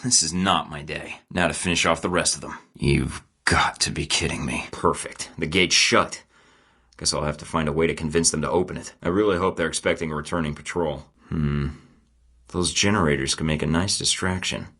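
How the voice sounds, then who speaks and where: A cocky secret agent, deep and husky. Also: male voice, steady tone, moderate pace, pushed and bright, exaggerated voice. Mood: wry. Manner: dry sarcastic quips. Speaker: video game protagonist voice. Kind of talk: game voice lines.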